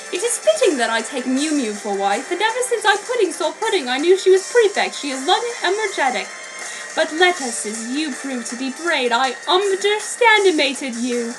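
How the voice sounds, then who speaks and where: A woman's voice: high-pitched, rough recording. A woman putting on a gloating vampire king. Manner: gloating, theatrical, lordly. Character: arrogant 400-year-old male vampire king. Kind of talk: fan fiction dramatic reading. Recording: background soundtrack music.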